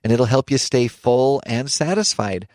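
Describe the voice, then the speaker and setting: A man's voice: medium pitch, normal speed, a little mellow, no room sound, clean studio sound. A middle-aged man hosting a radio health show, chatting casually, laughing often and stumbling over repeated words as he reads. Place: radio studio. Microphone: studio microphone.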